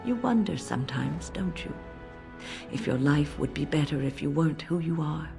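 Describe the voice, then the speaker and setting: Low-voiced wise older mage. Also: female voice, expressive, creaky, music in the background. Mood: gently probing. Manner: measured, reflective, counselling. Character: wise older female mage, gentle but firm mentor. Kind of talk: dramatic game dialogue.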